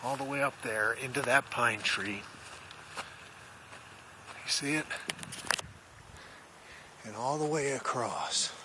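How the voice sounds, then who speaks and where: A man's voice: mid-range voice, takes it slowly, pauses often. A man talking casually and folksily in a rambling explanation, recorded outdoors on a handheld camera as he shows his radio hobby setup. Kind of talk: casual handheld video tour. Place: outdoors in wooded mountain country. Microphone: handheld camera microphone. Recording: noisy outdoor camera audio.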